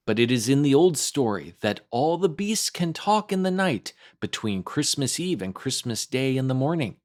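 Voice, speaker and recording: A man reading a classic children's tale aloud as a scripted storytime narration. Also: mid-range voice. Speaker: storytime host and reader.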